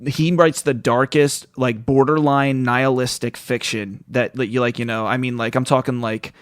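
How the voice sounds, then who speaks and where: A man's voice: medium pitch, fairly even intonation, moderate pace, smooth, no echo, studio quality. A man hosting a podcast in casual interview chat, talking in a rambling, informal, slangy way. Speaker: podcast host.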